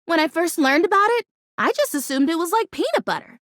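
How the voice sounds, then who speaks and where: A woman voicing an earnest, excitable houseplant who dreams of being real, as a video game character with dramatic, expressive delivery. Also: very high voice, a little fast, no room sound, clean studio sound, heavily acted. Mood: eager explaining.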